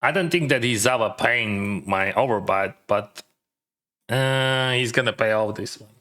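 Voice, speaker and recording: A man's voice: mid-range voice, a little slow, sharp tone. Chatty man thinking aloud mid-game. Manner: mildly accented non-native English. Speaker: poker streamer. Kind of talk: casual live-stream commentary.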